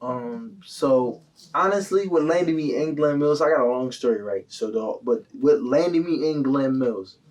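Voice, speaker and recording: A man's voice: fairly high pitch, a little slow, far from the mic. A young man, a guest telling his story in casual slang full of fillers. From Delaware County, Pennsylvania. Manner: animated, heavy slang, lots of fillers.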